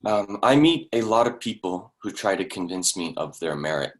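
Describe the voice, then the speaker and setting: An actor in a staged play reading, playing a blunt but awkwardly tactful investor friend, with a wry, conversational delivery. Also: male voice, low-pitched, expressive, moderate pace. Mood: forced toasting.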